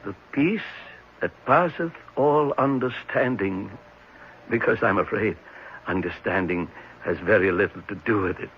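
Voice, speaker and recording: A man playing a thoughtful, assured psychiatrist in a 1970s radio drama, explaining things in a measured, reflective way with some hesitation. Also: lowish voice. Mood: gently assured. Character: thoughtful, assured male psychiatrist at a private clinic. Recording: muffled, noisy 1970s radio recording.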